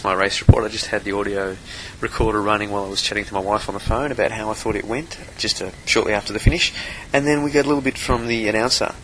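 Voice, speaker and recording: A man's voice: on the low side, fairly bright tone, slight background noise, poor audio. A man casually recapping his race, as a podcast host and amateur triathlete.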